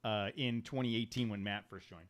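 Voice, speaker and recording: A man's voice: on the low side, steady tone, brisk. A middle-aged man hosting a podcast in loose, casual chat, jokey and prone to swearing. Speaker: podcast host.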